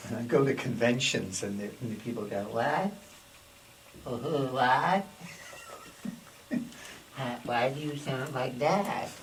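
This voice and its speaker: A man's voice: medium pitch, takes it slowly, long pauses, echoey room, distant mic, a little hiss or hum. A man in an interview clip telling an amused anecdote.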